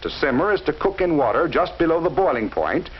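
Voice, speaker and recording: A man narrates a 1940s instructional film in a measured, explaining manner, speaking straight to the viewer. His pitch rises and falls a great deal. The sound is muffled and lo-fi, with a faint hiss. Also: very animated. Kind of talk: scripted educational film narration.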